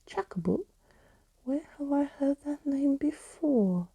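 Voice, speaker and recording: A woman voicing an old living skeleton gentleman in a storybook reading, quaint and dramatic in manner. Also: high-pitched, expressive, slow pace, no room sound, pitched above the performer's own voice, full character voice. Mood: puzzled.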